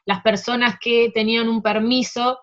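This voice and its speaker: A woman with an Argentine Spanish accent answering interview questions in a relaxed, halting way, full of fillers and restarts. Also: a little high. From France. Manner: hesitant, many fillers and restarts. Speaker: table tennis player, interview guest. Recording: dull lo-fi sound.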